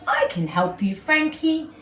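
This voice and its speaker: Woman as a wise, deliberate storybook monkey. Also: high-pitched, big pitch swings, a bit bright, soft background music, voice pushed up, full character voice. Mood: reassuring. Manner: deliberate, explaining. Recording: distant mic, roomy echo, background noise.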